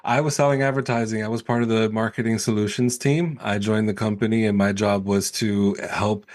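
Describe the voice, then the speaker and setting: A man's voice: fairly low pitch, flat delivery, a little fast, darkish tone. A man from New York City, born in Puerto Rico, speaking casually as a guest in an interview. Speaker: podcast guest, sales coach.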